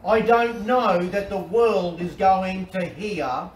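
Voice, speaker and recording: A middle-aged man with an Australian accent, preaching an animated sermon from a covered outdoor deck, with repetitions and restarts. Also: sits high, takes it slowly. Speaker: pastor. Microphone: far camera. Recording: roomy and distant with faint ambience.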